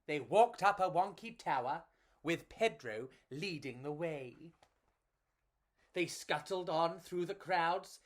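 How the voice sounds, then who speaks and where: A woman reading to children as a storyteller, with a theatrical, playful and measured delivery. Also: fairly low pitch, lively intonation.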